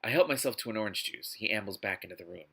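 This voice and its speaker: Male reader-commentator, forceful bright tone, exasperated and emphatic delivery. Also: lowish voice, animated, rapid-fire, gravelly, slightly rough. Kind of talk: scripted reading broken by indignant commentary.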